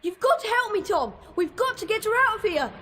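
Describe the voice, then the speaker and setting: A woman's voice: very high voice, sing-song, fairly quick, over music. A woman voicing a brave, determined young boy wizard in a video game, earnest and resolute. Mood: pleading.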